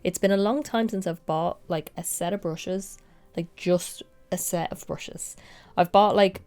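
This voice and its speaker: A young woman vlogger chatting through a makeup tutorial, a little nasal and sniffly from a lingering cold, drifting into soft whispers now and then. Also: lowish voice, unhurried, clear, resonant tone, soft background music. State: congested, recovering from a cold. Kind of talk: casual makeup tutorial chat.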